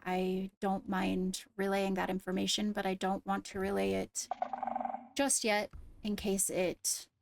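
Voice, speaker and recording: A woman playing a poised royal ruler in an improvised game roleplay, speaking formally and carefully with pauses to find words. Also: medium pitch, a little mellow, dry recording. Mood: grave, measured. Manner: measured, formal, hesitant between phrases. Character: poised female royal ruler addressing her court. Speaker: leader of the meeting.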